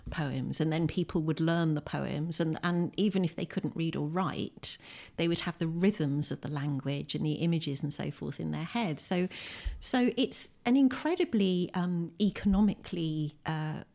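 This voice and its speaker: A middle-aged woman with an English accent, talking informally and expansively in an interview, with animated emphasis. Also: low voice, moderate pace, vocal fry. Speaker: poet and adult education teacher. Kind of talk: conversational interview. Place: in a home.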